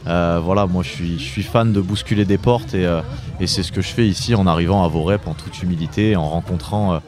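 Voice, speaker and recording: A man in his late twenties from southeastern France answering interview questions conversationally, often pausing and repeating himself as he searches for words. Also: deep voice, steady tone, darkish tone, soft background music. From Drôme, southeastern France. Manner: hesitant, searching for words, repeating phrase starts. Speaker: interview guest, author and entrepreneur.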